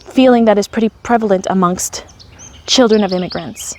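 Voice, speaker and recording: A woman's voice: medium pitch, normal speed, mellow, slight background noise. A woman, telling her own story in a candid, wry, conversational way. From Canada. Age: 30s. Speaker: vlogger. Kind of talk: personal storytelling.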